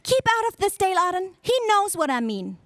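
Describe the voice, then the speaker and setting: Woman as evil young space princess, haughty n jealous, high voice, dry sound, old radio serial style. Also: very animated, fairly quick, dry recording. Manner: haughty, declamatory.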